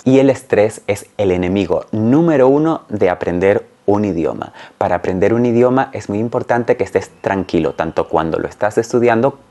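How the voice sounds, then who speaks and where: A man in his forties, a native Spanish speaker, explaining carefully and clearly as a language teacher talking to camera. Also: lowish voice, dark tone. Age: 40s. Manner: clear, careful, didactic phrasing. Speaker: Spanish teacher and channel host. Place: small room at home, indoors.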